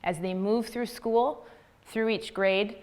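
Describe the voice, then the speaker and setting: A young adult woman from the United States giving a prepared public talk in an earnest, measured way with deliberate pauses. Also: medium pitch, steady tone, darkish tone. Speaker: graduate student speaker.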